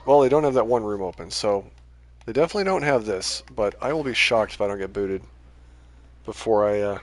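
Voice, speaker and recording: Guy with a lowish voice rambling thru a game stream, voice kinda wearing out. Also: a little fast. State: voice starting to tire and give out. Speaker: game livestreamer. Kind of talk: casual rambling gameplay commentary.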